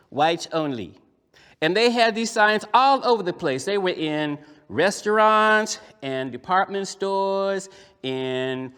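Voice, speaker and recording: A man voicing a lively, cheeky young boy puppet, telling a story to children in an emphatic, conversational theatrical style with deliberate pauses. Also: sits high, smooth, full character voice. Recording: echoey hall, long reverb.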